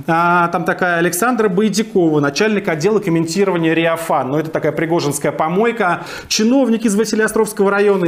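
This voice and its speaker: A man with a notably high voice explains something in a conversational tone. He pauses and searches for words as he goes along. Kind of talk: explanatory commentary.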